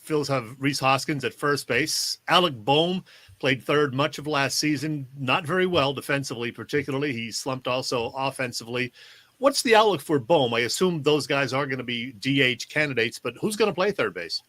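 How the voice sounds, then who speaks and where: Man, American accent, bright forceful voice, dry close sound, conversational sports interviewer. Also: medium pitch, lively intonation, moderate pace, no echo. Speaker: sports show host, interviewer.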